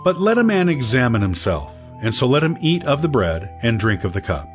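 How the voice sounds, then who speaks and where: A man reading scripture as an audio Bible narrator, in a measured, declarative scripted style. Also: mid-range voice, very animated. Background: background music.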